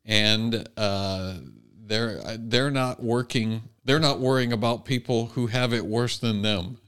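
Clear-voiced man talking on a podcast. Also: medium pitch, fairly even intonation, slow, fairly bright tone. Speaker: podcast host. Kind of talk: conversational talk, reading out online comments.

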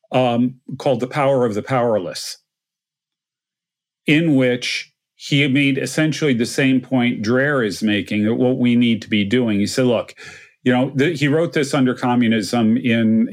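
A man in a casual discussion, explaining ideas in a measured way with some stammered starts. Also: medium pitch.

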